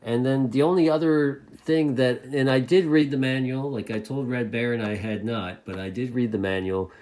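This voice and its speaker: A man's voice: mid-range voice, fairly even intonation, normal speed, darkish tone. A man giving a casual hobbyist product review to camera, rambling along and often repeating his phrases.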